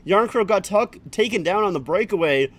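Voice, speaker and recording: A man's voice: high-pitched, expressive. A man streaming a live hockey watch-along, calling the play-by-play and chatting with viewers between plays. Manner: rapid running play-by-play, swears, chats with viewers.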